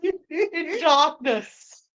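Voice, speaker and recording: A woman's voice: sits high, expressive, extremely slow, a bit bright, highs cut off. A woman co-hosting and narrating a live dramatic story reading, playful and theatrical, slipping into chatty banter. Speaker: co-host and narrator.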